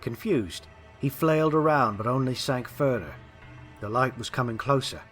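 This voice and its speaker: A man's voice: medium pitch, expressive, moderate pace, fairly bright tone, soft background music. A man reading a scripted historical ghost story as a dramatic narrator.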